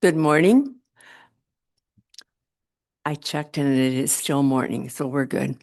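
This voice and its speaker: A woman speaking loudly to a church council meeting in a meeting room, chatty and given to joking asides. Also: extremely low pitch, slow. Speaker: committee presenter.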